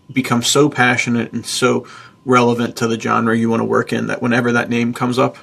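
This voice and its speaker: A man hosting a podcast in casual chat, talking in an informal, enthusiastic, emphatic way with a husky, gravelly voice. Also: medium pitch, fairly bright tone. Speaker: podcast host, author and publisher.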